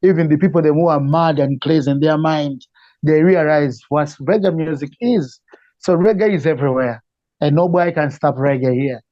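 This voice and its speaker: A man's voice: a little high, normal speed, a little mellow, dry recording, lo-fi sound. A man from Malawi with a Malawian accent, answering conversationally as a musician being interviewed. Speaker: interview guest, reggae singer.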